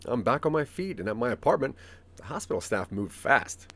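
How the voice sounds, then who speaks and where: A man's voice: mid-range voice, very animated, brisk, fairly bright tone. A man playing a polite, tentative amateur engineer in dialogue in an audio drama. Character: Mark, polite self-made engineer, tentative and eager.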